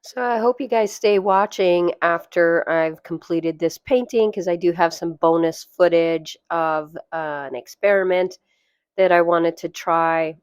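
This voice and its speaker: A woman narrating a watercolor painting tutorial, hoarse and losing her voice, soft-spoken and chatty. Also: on the low side, unhurried, dry recording, studio quality. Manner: soft-spoken, chatty, with fillers and light laughs. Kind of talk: relaxed voice-over tutorial.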